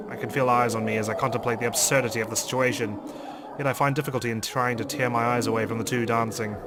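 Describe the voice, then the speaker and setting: A man doing a let's play, reading a game's narration aloud in an expressive, dramatic storytelling way. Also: on the low side. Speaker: let's player.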